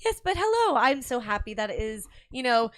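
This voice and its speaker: A woman's voice: fairly high pitch, very animated, fairly quick, no room sound. A woman chatting casually as a panelist on a show. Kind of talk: casual chat.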